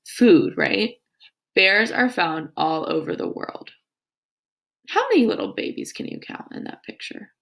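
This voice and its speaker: A woman teacher with a creaky voice reading aloud to young children in an instructive way, with her own comments and questions. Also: mid-range voice, huge pitch swings, unhurried.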